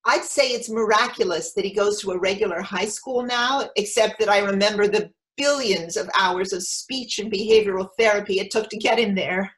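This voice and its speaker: A woman's voice: medium pitch, moderate pace, hardly any pauses, close to an everyday voice, low-quality recording. Anxious, overeager mother telling her story acted by a woman. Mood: hopeful, nervous. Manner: animated storytelling, breaking into quoted dialogue. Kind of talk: theatrical monologue, staged reading.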